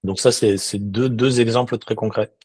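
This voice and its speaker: Un homme d'une quarantaine d'années, invité d'un podcast, qui parle sérieusement avec beaucoup d'hésitations et de reprises. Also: male voice, fairly low pitch, steady tone, normal speed, a little mellow, husky, dry recording. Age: 40s. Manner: earnest, many restarts and hesitations. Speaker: guest. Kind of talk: casual interview talk.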